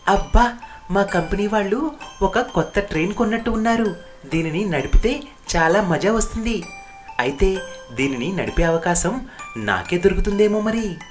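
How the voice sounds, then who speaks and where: A woman voices an earnest middle-aged male train driver from a cartoon moral tale. The delivery is respectful and eager, with a very animated pitch. Music is clearly heard behind. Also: moderate pace, pauses often, close to an everyday voice. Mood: excited. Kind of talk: animated moral story dialogue.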